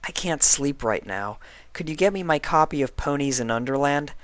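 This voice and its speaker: Female unicorn pony , acted by a man: storybook, unwell, gentle, fretful for others, lifted pitch, dry sound. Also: lively intonation, normal speed, no room sound. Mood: weary, grateful. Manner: gentle, concerned. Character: caring, concerned female unicorn pony, sick in bed.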